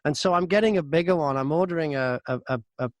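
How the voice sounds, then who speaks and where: Higher-pitched man, dry studio sound, chatty. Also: fast talker, no room sound. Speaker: voice-over artist and audiobook narrator, interview guest. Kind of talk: casual conversational anecdotes. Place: very small closet converted to a studio.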